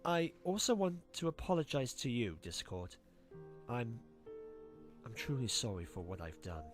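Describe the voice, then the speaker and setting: Casting: actor for earnest young cartoon pony, mid-pitched, animated, sincere and remorseful, faint music. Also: male voice, normal speed, lightly acted. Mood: grateful remorse. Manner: expressive, sincere. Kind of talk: animated fan drama dialogue.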